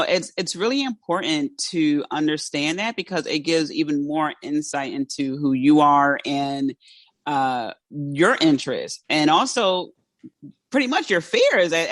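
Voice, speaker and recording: A woman's voice: low-pitched, no room sound. A woman host with a South Philadelphia accent, chatting casually and laughing often, her speech a little thick from dental brackets. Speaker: podcast host. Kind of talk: casual interview chat.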